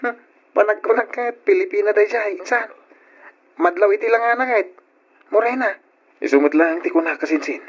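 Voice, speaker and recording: A man playing a teasing, excitable young male cousin in an old radio drama, talking in an animated way. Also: sits high, fairly quick, long pauses, dull audio. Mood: excited, teasing.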